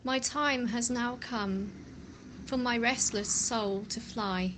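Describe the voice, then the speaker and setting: A woman gives a slow, gentle poetry reading in a slightly high voice. A faint hiss sits under the recording.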